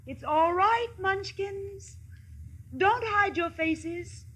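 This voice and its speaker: A woman's voice: very high-pitched, extremely slow, fairly bright tone, exaggerated voice. An actress playing a kindly, self-assured good witch, grand and soothing, in a 1950s radio play. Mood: reassuring. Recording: old radio broadcast with music behind.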